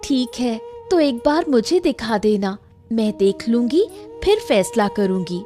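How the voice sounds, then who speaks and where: Actress as young cartoon village woman, determined, animated intonation, fairly high voice, light music behind. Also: a little slow. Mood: eager. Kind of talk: Hindi animated moral story dialogue.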